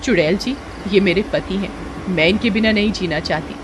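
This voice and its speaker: A woman's voice: mid-range voice, normal speed, noisy background, close to an everyday voice. A woman playing a devoted, earnest village wife in a cartoon horror story. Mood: pleading. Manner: earnest, pleading. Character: devoted young village wife, pleading and resolute.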